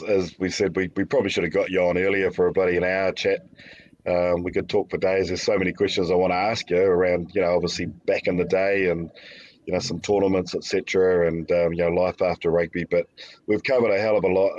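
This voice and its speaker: Deep, flat blokey New man. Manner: blokey, matey, hesitant. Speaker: podcast host. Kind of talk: casual podcast chat. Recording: dull lo-fi sound.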